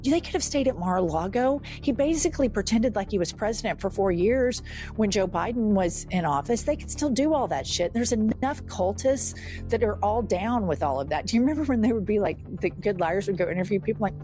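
A woman's voice: medium pitch, expressive, fairly quick, dark tone. Woman, political commentator, indignant, profane, faint background music. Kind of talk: heated political chat.

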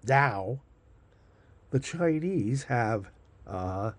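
A man's voice: medium pitch, expressive, very slow, dry recording. A man hosting his own show in a sarcastic, rambling way, with a stuffed-up nose. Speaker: podcast host. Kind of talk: casual monologue.

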